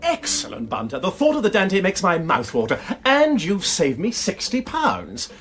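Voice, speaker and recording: An actor playing a flippant, witty aristocratic amateur sleuth with an upper-class English accent and a bantering, drawling manner, in a radio drama. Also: male voice, high-pitched, huge pitch swings, normal speed, a bit bright, soft background music, full character voice. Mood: teasing, playful. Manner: breezy, bantering, drawling exclamations. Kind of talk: BBC radio drama acting.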